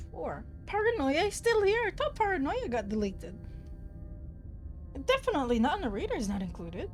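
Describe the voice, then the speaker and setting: A high-pitched woman streamer with a bouncy, lively voice, chatting slowly and playfully and giggling between lines. Soft game music sits underneath her voice. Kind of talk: casual gaming stream chat.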